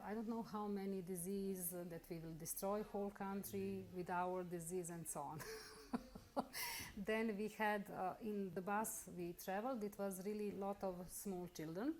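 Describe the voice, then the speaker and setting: A middle-aged woman with a Bosnian accent, carefully telling her own story in halting English during an interview. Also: mid-range voice, steady tone, a little mellow, a little hiss or hum. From Bosnia and Herzegovina. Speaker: interviewee, lawyer. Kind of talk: personal account in an interview.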